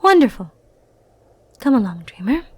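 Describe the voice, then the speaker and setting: A powerful immortal sorceress, acted by a woman for an ASMR roleplay, with a fairly high feminine voice. She speaks softly and intimately, often slipping into breathy murmurs. Her delivery is theatrical and teasing, flirty yet dangerous and possessive. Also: very animated, normal speed. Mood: smug, teasing.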